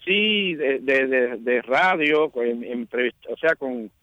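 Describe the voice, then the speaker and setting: A man's voice: mid-range voice, animated, slow pace, no room sound, lo-fi sound. A man talking as a magazine editor guest in a casual radio interview, chatty and often restarting his sentences.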